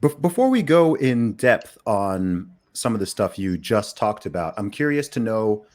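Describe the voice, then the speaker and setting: A man's voice: on the low side, very animated, a little slow, darkish tone. A man hosting a podcast, asking questions in a casual, curious way. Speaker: podcast host. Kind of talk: podcast interview.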